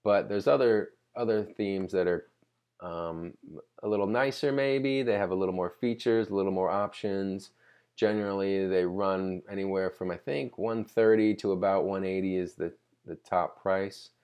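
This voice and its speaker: Low-voiced man explaining casually. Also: fairly even intonation, normal speed, a little mellow, smooth. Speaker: tutorial presenter. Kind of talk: casual unscripted screen-recording walkthrough. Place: voice-over for a screen recording.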